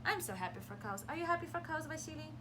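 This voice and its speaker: A woman reading a picture book aloud to young children as a preschool teacher, expressively, pausing to explain simply and ask questions. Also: high voice, noisy background. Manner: expressive, asks questions and pauses for answers.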